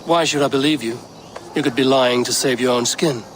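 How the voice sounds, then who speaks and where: An actor playing a commanding, stern Viking raider in a video game, with a measured, authoritative manner. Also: male voice, mid-range voice, a little hiss or hum, lightly acted. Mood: questioning, stern.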